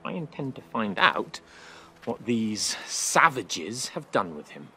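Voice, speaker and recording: A man's voice: fairly low pitch, sing-song, takes it slowly, bright, forceful tone, a little hiss or hum, full character voice. A man playing an arrogant, cruel young Roman prince in a video game, with a pompous, mockingly polite manner. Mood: haughty command. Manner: pompous, sneering, theatrically polite. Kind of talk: video game cutscene acting.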